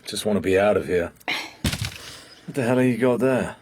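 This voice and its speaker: A man's voice: fairly low pitch, moderate pace, a little mellow, slight background noise, close to an everyday voice. A man acting a curmudgeonly, weary British secret agent in a radio drama, dry, sardonic and grumbling. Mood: weary, irritable.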